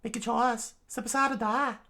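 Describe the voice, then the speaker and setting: Nico Robin, a man in falsetto. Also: fairly even intonation, normal speed, pushed and bright, full character voice. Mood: cold threatening. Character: cold menacing female assassin.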